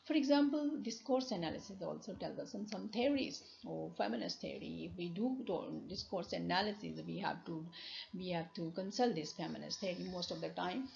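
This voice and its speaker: Gravelly Pakistani woman lecturing on slides. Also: on the low side, a little mellow. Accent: Pakistani English. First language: Urdu. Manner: explains slowly with many fillers and restarts. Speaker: university lecturer. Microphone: voice-over on slides. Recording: dull lo-fi recording with faint noise.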